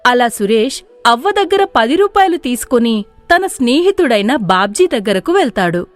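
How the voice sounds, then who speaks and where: A woman's voice: on the high side, sing-song, moderate pace. A woman giving scripted storybook narration for a moral tale. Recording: music playing behind the voice.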